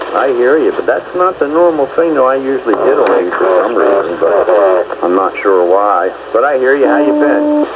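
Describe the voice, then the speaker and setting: A man's voice: medium pitch, wildly swooping pitch, normal speed. A man chatting casually as an operator over a two-way radio. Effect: two-way radio transmission. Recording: muffled CB radio with very loud static.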